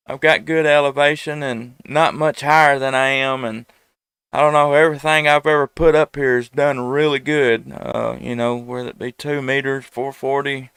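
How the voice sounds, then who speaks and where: Clear-voiced man, dry headset sound. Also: a little high, a bit bright, dry recording. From United States. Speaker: amateur radio operator and live stream host. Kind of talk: casual ham radio chat. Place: at home indoors. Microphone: headset microphone.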